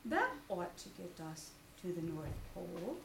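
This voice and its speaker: A woman voicing a gentle, slow-witted, good-natured male toy bear in a mild, musing storybook manner. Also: on the low side, sing-song, vocal fry, voice pushed down, full character voice. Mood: mild, hopeful. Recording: distant echoey room with faint noise.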